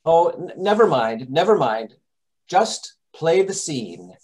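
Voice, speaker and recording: Imperious detective played by a man, highish voice, measured, expressive pitch, vintage radio drama. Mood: impatient. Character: brisk, exacting, commanding master detective. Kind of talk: 1930s radio mystery acting.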